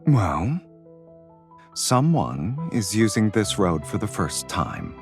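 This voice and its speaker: An actor playing a cool, teasing, protective male gang leader in a romance game, unhurried and self-assured. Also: sing-song, soft background music, exaggerated voice. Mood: teasing, fond. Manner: unhurried, low-key, smoothly teasing.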